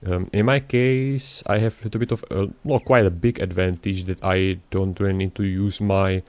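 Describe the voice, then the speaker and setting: A man's voice: low-pitched, fairly even intonation, normal speed, a little mellow, no room sound. A young man, not a native English speaker, rambling through casual gameplay commentary with many fillers and restarts. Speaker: gaming YouTuber.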